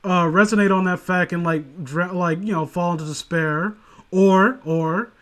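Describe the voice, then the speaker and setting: A man's voice: sits high, fairly even intonation, moderate pace, fairly bright tone. A man co-hosting a casual comedic commentary, rambling sarcastically with crude humour. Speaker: co-host of a comedic commentary show.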